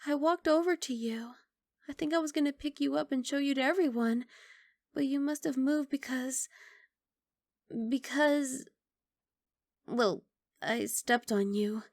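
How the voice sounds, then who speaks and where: A woman playing a young, sweet, apologetic giant dragon girl in an intimate ASMR roleplay, soft and coaxing with hesitant rambling. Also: high voice, measured pace, pauses often, dry recording, studio quality. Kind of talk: ASMR roleplay, intimate one-sided dialogue.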